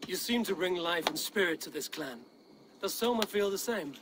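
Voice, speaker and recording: Stoic male Viking raider for a game: serious, terse, pitched a little high, faint noise behind. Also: lively intonation, moderate pace. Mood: curious, calm. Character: stoic, inquisitive Viking warrior leader. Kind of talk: video game character acting.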